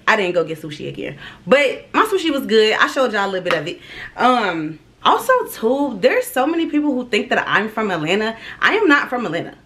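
A young woman in her twenties from Ohio, telling her story to viewers in a casual, animated vlog and calling them "y'all". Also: medium pitch, slight background noise. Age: 20s. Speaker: vlogger. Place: small indoor room.

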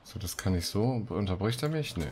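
A man with a very low voice hosts a let's play in a casual way. He talks through the gameplay and reads out quests, with noticeable background noise of keys and game sounds. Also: animated, brisk. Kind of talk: casual gameplay commentary with read-out quest texts.

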